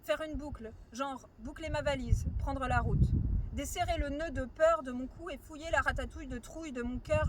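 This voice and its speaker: High-pitched slam poet over outdoor noise. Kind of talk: spoken-word slam poetry recital. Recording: outdoor recording with clear ambient noise.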